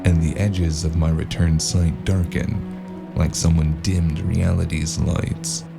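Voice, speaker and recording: A weary hard-boiled detective telling his own story. His narration is extremely deep and gravelly, in classic noir audio drama style. Music runs clearly underneath. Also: level tone, slow pace, exaggerated voice. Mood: brooding. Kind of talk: first-person noir narration.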